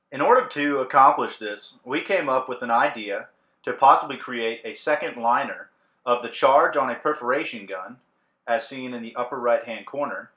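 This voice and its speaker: A man's voice: medium pitch, a bit bright, echoey room. A young man from Louisiana, an engineering student explaining his team's method step by step from notes. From Covington, Louisiana. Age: young adult. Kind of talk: scripted class presentation.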